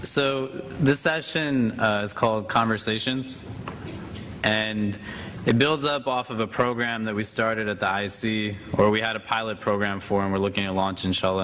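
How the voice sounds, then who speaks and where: A man's voice: fairly low pitch, a bit bright, a little hiss or hum. A man moderating a panel, speaking conversationally to a live audience in a lecture hall and making self-deprecating jokes. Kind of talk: conference panel introduction.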